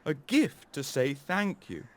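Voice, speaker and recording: A storyteller voicing a gentle, kind male scarecrow in a children's story, soft and warm-hearted. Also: medium pitch, sing-song, slow, voice pushed up. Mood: grateful.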